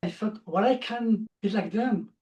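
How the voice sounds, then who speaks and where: Reflective non-native English-speaking male coach in his 40s, mellow tone, sits back from the mic. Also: sits high, measured pace, recorded from a distance, lo-fi sound. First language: not English. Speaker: social anxiety coach. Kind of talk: interview guest, personal story.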